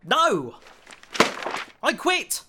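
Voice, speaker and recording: A man's voice: extremely high pitch, wildly swooping pitch, very slow, noisy background, heavily acted. A man playing an earnest, reserved and loyal police officer in an audio drama. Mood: indignant, loyal.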